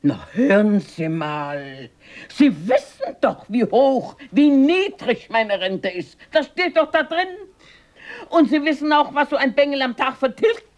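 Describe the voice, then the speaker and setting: An actress playing a feisty, gruff old grandmother with a blunt, emphatic way of talking in a radio drama. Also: high voice, lively intonation, measured pace, a little mellow. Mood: indignant. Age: older.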